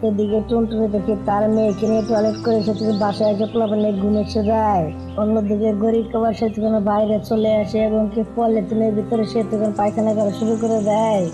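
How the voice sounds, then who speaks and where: Female cartoon storyteller, quick delivery with little melodic movement, music underneath. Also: mid-range voice, monotone, rough recording. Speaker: narrator. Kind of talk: children's cartoon story narration. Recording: music behind the voice.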